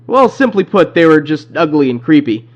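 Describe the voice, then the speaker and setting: A man's voice: on the high side, sing-song, normal speed. A man playing an awkward, chatty company phone caller briefing a night guard in a horror game, rambling hesitantly. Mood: chatty, dismissive. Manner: hesitant, rambling, full of ums. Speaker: phone guide character in fan horror game. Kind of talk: rambling phone-message monologue. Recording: muffled lo-fi phone-message audio.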